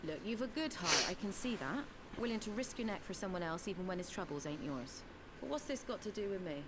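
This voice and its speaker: An actress as a wary, defiant woman from a fantasy game's slums. She talks bluntly in folksy turns of phrase, with lively swings of pitch. The game audio has clear noise behind it. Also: fairly quick, lightly acted. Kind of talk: video game drama voice acting.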